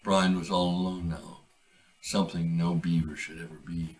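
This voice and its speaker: A man reading aloud a short story he wrote, in a measured, deliberate way. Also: low voice, little pitch movement, recorded from a distance. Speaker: author reading his own story.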